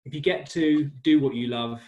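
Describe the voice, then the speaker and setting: A man's voice: mid-range voice, moderate pace, darkish tone, highs cut off. A man in his forties from West London, talking casually and laughing easily as a guest in an interview about his life in sport. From West London, England. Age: 40s. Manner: chatty and self-deprecating, laughs easily. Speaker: guest, cycling commentator.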